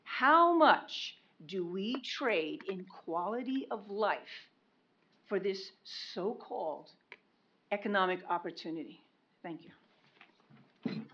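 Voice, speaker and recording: Loud insistent woman at public comment. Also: medium pitch, expressive, slow pace, long pauses. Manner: insistent, repeats questions for emphasis. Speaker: public commenter, local resident. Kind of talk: public comment at a county meeting. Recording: dull lo-fi meeting recording.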